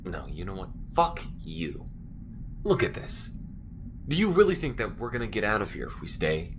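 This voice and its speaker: A man playing a defiant but protective alien smuggler in an audio roleplay, with rough, urgent, sweary delivery. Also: fairly low pitch, very animated, normal speed, background noise. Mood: angry, defiant.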